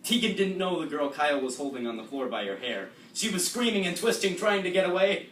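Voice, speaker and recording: A man's voice: on the high side, big pitch swings, fairly quick, fairly bright tone, distant mic. A man narrating comedic readers' theatre, recorded in an echoey, noisy live room.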